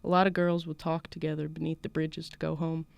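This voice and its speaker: A woman's voice: low voice, little pitch movement, brisk, gravelly, no echo. A woman voicing a defiant small-town schoolgirl in a put-on Southern US accent, narrating a first-person story. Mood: wry, defiant. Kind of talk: first-person literary fiction read aloud.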